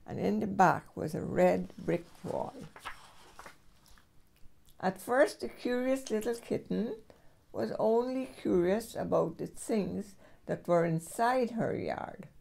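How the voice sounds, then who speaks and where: An older woman reading a children's storybook aloud as a great-grandmother, with expressive storyteller phrasing. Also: medium pitch, vocal fry.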